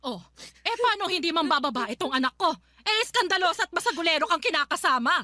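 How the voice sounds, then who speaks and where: A woman's voice: very high-pitched, expressive, quick pace, pushed and bright, soft background music, full character voice. A woman playing a domineering, quarrelsome middle-aged mother in a Filipino radio drama, scolding and confrontational as she defends her grown son. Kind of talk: Tagalog radio drama acting.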